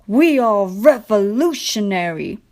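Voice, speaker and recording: A woman voicing a smug, boastful male diesel engine in a storybook, with oily, purring politeness and drawn-out words. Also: mid-range voice, animated, a little mellow.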